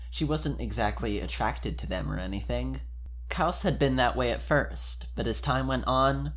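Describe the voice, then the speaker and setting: A man speaking informally and expressively, as a podcast member giving an amused dramatic reading of fan fiction. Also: mid-range voice.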